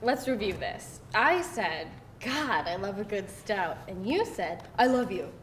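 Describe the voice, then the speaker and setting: Teasing woman in her late twenties acted by a woman at a table read, deliberate, lively, echoey. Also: natural voice. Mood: amused, amazed. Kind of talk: live screenplay table read. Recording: echoey room, rumbling noise.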